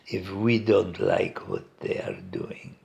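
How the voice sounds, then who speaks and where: A man with a Polish accent talks in a very slow, unhurried way, his low voice full of gravelly fry. His pitch swings widely as he muses aloud, pausing, restarting and chuckling to himself. He sounds like he is giving a reflective talk, recorded at home on his own device. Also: huge pitch swings, a little mellow. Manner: slow, halting, pauses and restarts, chuckles often. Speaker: meditation teacher. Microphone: recording device set up by himself.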